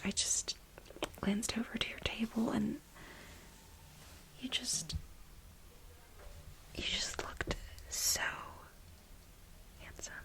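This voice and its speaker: Woman acting a whispering, flustered, lovestruck office assistant. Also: mid-range voice. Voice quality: breathy, whispery. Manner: halting, dramatic, confiding. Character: flustered, lovestruck female office assistant confessing to married boss. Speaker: ASMR role-play performer. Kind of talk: soft-spoken ASMR role play.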